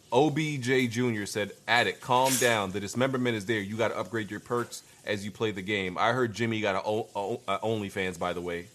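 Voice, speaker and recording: A man's voice: lowish voice, fairly bright tone. A man hosting a casual livestream, reading viewer comments aloud and adding dry, laid-back remarks. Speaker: host reading viewer super chats.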